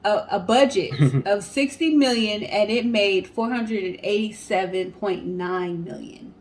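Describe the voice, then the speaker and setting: A woman co-hosting a show, telling stories and chatting casually to the audience in an animated way. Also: mid-range voice, a little slow, rough recording. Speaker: co-host of a storytelling guessing show. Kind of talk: casual storytelling and chat to viewers.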